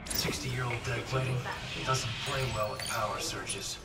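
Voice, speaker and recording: Guy as skeptical space engineer, level-headed, mid voice, echoey game audio with loud music. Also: mid-range voice, steady tone, measured pace, far from the mic, close to an everyday voice. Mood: wary, dismissive. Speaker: ship engineer. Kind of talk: naturalistic game acting.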